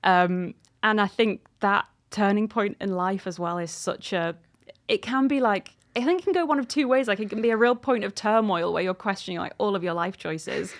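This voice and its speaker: A woman's voice: medium pitch, moderate pace. A woman in her thirties, an author chatting casually and animatedly in an interview, in an informal way. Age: 30s.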